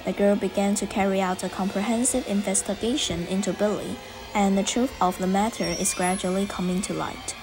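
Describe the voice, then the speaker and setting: A woman's voice: mid-range voice, steady tone, fairly quick, over music. A young woman reading scripted narration as the narrator of an amateur student radio drama. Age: young adult.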